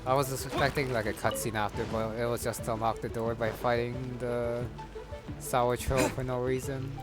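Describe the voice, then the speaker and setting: A male gamer talks through his play casually, thinking aloud. His mid-pitched voice is low-key, and loud game music runs behind it. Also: little pitch movement, moderate pace. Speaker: gamer, playthrough host.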